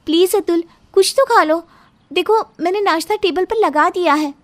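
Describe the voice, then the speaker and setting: A woman's voice: extremely high pitch, normal speed. A woman voicing an anxious, pleading young newlywed wife in a horror cartoon. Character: frightened young newlywed wife, sensing something eerie. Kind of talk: Hindi horror cartoon dialogue.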